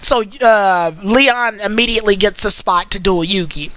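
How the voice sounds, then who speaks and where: A host chatting casually while recapping and critiquing an anime series, with an animated, wry storytelling manner. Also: medium pitch, normal speed. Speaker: host of an anime commentary stream.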